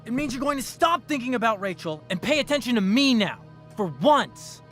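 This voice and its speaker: Possessive teenage boy, game drama: insistent, earnest, very animated pitch, music underneath. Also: a little slow. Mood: agitated, desperate. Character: possessive, obsessive, self-righteous teenage boy. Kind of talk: dramatic video game voice acting.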